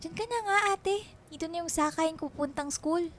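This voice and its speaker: A woman's voice: very high voice, fairly quick, a little hiss or hum. A woman acting a defiant, hard-headed schoolgirl younger sister in a Filipino radio drama, curt and sassy. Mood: irritated, defiant.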